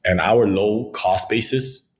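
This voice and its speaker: A man's voice: lowish voice, takes it slowly. A man from Congo, a French speaker, answering podcast questions about his crypto company in fluent, jargon-heavy talk. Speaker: crypto company founder, guest. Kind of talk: podcast interview, business pitch.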